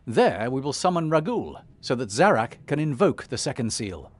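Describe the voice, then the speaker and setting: An actor playing a commanding, measured and decisive warrior leader in a fantasy video game. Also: male voice, mid-range voice, big pitch swings.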